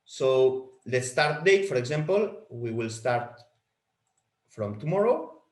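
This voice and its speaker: Spanish-speaking guy demoing software, slow, stops and repeats himself a lot, mid-pitch voice. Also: lively intonation, echoey room. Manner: halting, repeats words while clicking through settings. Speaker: product trainer. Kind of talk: software walkthrough webinar.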